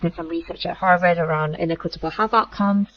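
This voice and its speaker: Lowish-voiced woman from malaysia, chatty and quick with lots of "like", kinda muffled lo-fi sound. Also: steady tone. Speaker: founder guest. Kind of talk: casual interview talk.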